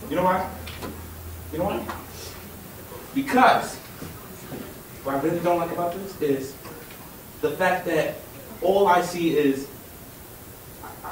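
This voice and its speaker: A man's voice: a little high, slight background noise, lightly acted. A young man playing an angry, defiant student who stumbles, repeats himself and then bursts out. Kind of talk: stage monologue. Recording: very echoey hall from far off.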